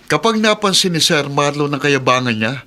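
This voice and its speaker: An actor playing a smug, conniving office co-worker in a radio drama, sly and mocking. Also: male voice, fairly high pitch, lively intonation, normal speed, sharp tone. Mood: spiteful. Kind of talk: Tagalog radio drama acting.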